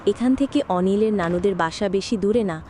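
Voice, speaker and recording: A woman's voice: medium pitch, normal speed, dark tone. Woman narrator over street noise. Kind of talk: storybook narration.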